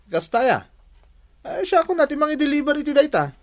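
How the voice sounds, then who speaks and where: Brash, argumentative Ilocano husband, animated delivery. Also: male voice, extremely high pitch, brisk. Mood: needling. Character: brash, defensive husband working as office utility messenger. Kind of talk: Philippine radio drama acting. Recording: music under the voice.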